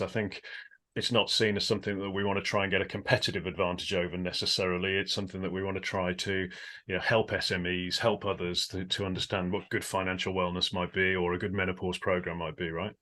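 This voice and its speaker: A man hosting a podcast in casual interview chat, a little hoarse today, friendly and prone to tripping over his words. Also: low voice, pushed and bright. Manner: friendly, trips over words, repeats himself. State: slightly hoarse. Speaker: podcast host.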